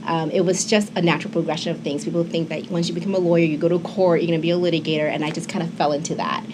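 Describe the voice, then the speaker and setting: Woman in her fifties, low voice, chatty TV guest, studio hiss behind. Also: fairly even intonation, very fast. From Hong Kong. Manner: warm, chatty, many fillers and restarts. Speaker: immigration attorney, guest. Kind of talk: casual interview talk. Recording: local TV studio with noisy background.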